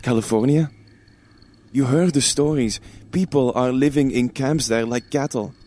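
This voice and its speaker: A man acts as a worn-out immigrant farmer in a Dust Bowl audio drama. He is stubborn, gruff and dismissive, with a middling pitch and a soft, dark tone. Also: normal speed, natural voice. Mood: weary, defiant. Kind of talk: scripted audio drama acting.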